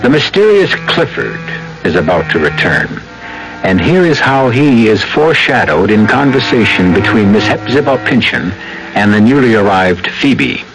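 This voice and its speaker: Low voiced guy hosting old radio mystery, ominous, music underneath. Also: sing-song, poor audio. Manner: ominous, deliberate phrasing. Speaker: drama series host. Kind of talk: 1970s radio mystery narration.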